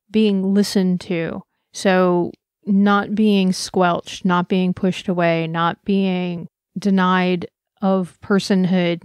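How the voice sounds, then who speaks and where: A woman's voice: lowish voice, a little mellow, clear, resonant tone, dry recording. A woman giving a personal, earnest conference talk, speaking with passion and reflection. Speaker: conference presenter.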